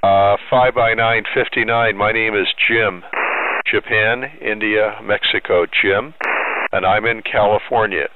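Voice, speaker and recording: An older American man speaking as a friendly amateur radio operator in a deliberate call-sign exchange. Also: fairly low pitch, steady tone, narrow, tinny audio. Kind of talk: ham radio contact, spelling out call signs. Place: small home radio shack, indoors. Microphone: handheld boom microphone up close. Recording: loud radio static, clicks.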